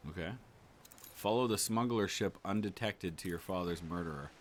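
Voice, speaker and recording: Man, lowish pitch, casual gameplay commentary, laid-back, thinking aloud. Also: moderate pace, a little hiss or hum. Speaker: gaming YouTuber. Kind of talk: casual let's play commentary.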